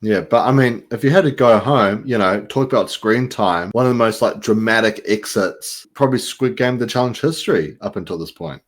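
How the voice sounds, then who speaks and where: Australian man, young, low-pitched, laid-back slangy host, casual podcast chat. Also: fairly quick, hardly any pauses. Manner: laid-back, slangy, says "bro" and "reckon".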